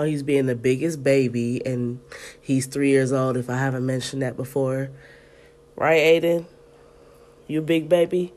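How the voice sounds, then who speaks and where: A woman in her thirties hosting a podcast from home, chatting loosely with listeners and reading aloud, her voice stuffy and congested. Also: extremely low pitch. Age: 30s. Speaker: podcast host. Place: at home in an apartment.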